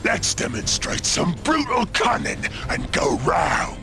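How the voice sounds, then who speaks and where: Brash game ork boss, slow and bellowing, pitched high with a rough growl. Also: male voice, sing-song, raised voice, music in the background, full character voice. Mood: boisterous bluster. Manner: boisterous, bellowing, gleefully thuggish. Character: brash, brawling male ork pirate captain. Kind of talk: cartoonish video game acting.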